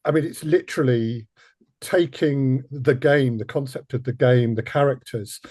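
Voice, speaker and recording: A man hosts a conversational podcast interview. His voice sits at a middling pitch and has a slightly husky edge as he talks with his guests. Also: normal speed, a little mellow.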